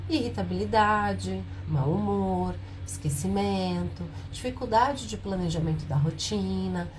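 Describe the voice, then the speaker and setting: A woman with a cold hosts a live stream, her voice hoarse and nasal. She keeps it soft and lower than she normally would while she explains and asks her viewers questions. A faint noise sits behind her. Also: measured pace. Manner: speaks softer and lower than usual on purpose. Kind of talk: informal health talk on a live stream.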